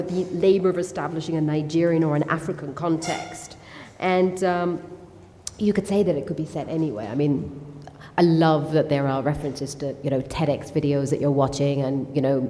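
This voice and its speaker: A woman's voice: sits low, dark tone, slight background noise. A woman moderating a book talk at a festival, asking long questions thoughtfully and hesitantly with fillers. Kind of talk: festival stage conversation. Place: festival hall. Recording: long hall reverb.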